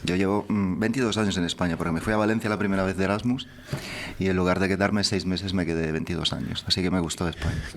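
A low-voiced man in his forties from Rome, native Italian, whose Spanish carries a Madrid accent. He speaks into a studio mic as a relaxed radio guest, laughing easily and often restarting his phrases. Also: fast, a little hiss or hum. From Italy (Rome). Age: 40s. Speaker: political candidate, radio guest. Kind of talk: radio interview. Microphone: studio microphone.

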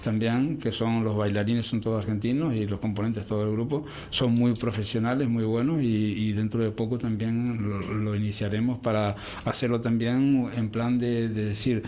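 A man's voice: fairly low pitch, fairly even intonation, moderate pace, few pauses, mellow. A man running a theatre, speaking as a radio guest, rambling and hesitant with many restarts. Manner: rambling, hesitant, repeats words. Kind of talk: casual radio interview.

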